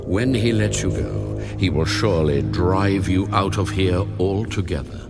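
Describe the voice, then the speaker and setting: An actor playing a majestic, authoritative God in a dramatised Bible reading, proclaiming solemn commands. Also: male voice, low voice, measured pace, loud music behind the voice. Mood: solemn decree. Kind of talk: dramatised audio Bible acting.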